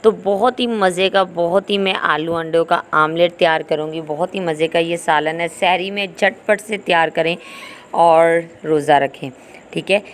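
A woman's voice: on the low side, steady tone, normal speed. A woman giving an informal, instructional recipe voice-over as a cooking host. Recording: home recording with clear background noise.

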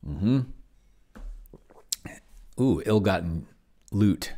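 A middle-aged man giving casual think-aloud screencast commentary, halting and muttering as he types. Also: low-pitched, lively intonation, mellow. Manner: halting, mutters while typing. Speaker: host of a solo roleplaying experiment vlog. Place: small indoor room with bookshelves.

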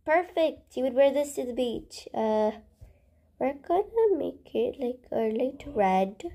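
A woman with a fairly high voice casually narrates her gameplay as a channel host. She speaks very slowly and hesitantly, thinking out loud. Her sentences often trail off into soft murmurs. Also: animated.

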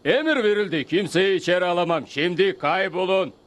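An actor playing a rigid, obedient cartoon army sentry, curt and military. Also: male voice, sits high, fairly quick, heavy background noise, close to an everyday voice. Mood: stern. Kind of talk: cartoon dubbing.

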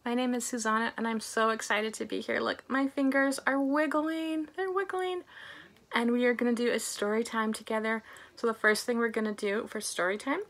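A woman's voice: a little high, fairly even intonation, moderate pace, a bit bright. A young adult woman presenting a storytime for small children as a librarian, speaking to them in simple, encouraging phrases with lots of questions.

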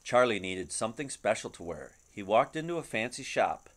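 Expressive middle-aged man reading a storybook. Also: on the low side, normal speed. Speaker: storybook reader. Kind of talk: children's picture book read-aloud. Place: living room at home. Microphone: webcam at about arm's length.

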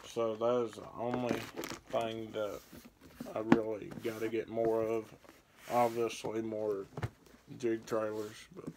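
A man's voice: fairly low pitch, flat delivery, takes it slowly, background noise. A young man, casually rambling through his fishing baits for a vlog, filmed on a phone in a small bedroom. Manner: rambling, laid-back, listing baits as he handles them. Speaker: fishing vlogger. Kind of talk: casual tackle show-and-tell. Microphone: phone camera at arm's length. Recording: crinkling plastic bait bags behind the voice.

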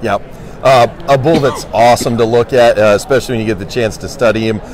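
A man's voice: medium pitch, fast talker, darkish tone, rough recording. A man giving casual livestock commentary as a specialist on a panel. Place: indoor convention hall booth.

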